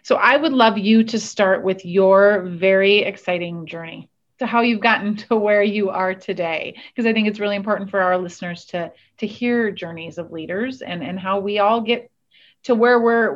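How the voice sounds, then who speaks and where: A woman's voice: mid-range voice, normal speed. A woman hosting a podcast interview in an earnest, encouraging, conversational way. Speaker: podcast host. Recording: slightly dull, lo-fi audio.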